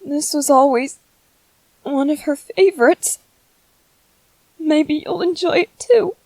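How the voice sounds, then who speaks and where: A woman voicing a grieving young widow and mother in a dramatic monologue, slow and heavy with sorrow. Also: high voice, a little mellow, no room sound, poor audio. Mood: soothing. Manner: slow, heavy with grief, at times tearful.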